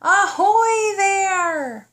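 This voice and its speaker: A woman's voice: very high voice, animated, extremely slow, fairly bright tone, pitched above the performer's own voice, exaggerated voice. A woman voicing an eager, determined little girl bunny for a children's storybook, calling out and exclaiming. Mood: bold pirate talk. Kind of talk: children's storybook read-aloud.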